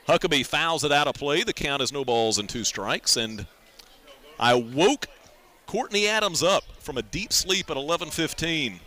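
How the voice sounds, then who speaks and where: A man's voice: fairly high pitch, bright, forceful tone. A man doing live radio baseball play-by-play, describing the action and chatting conversationally.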